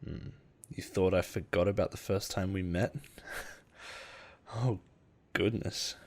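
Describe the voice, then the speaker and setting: A man playing an obsessive, possessive, lovesick boss in a romantic roleplay audio drama, with an intimate, dramatic delivery full of pauses. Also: sits low, steady tone, darkish tone. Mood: coy, intimate.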